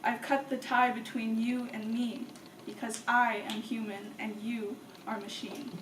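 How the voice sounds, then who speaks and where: A woman's voice: fairly high pitch, little pitch movement, a little slow. A young woman in her late teens performing a slam poem at a writing camp, with an earnest, rhythmic spoken-word delivery. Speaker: poet. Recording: echoey room from far off, faint hiss.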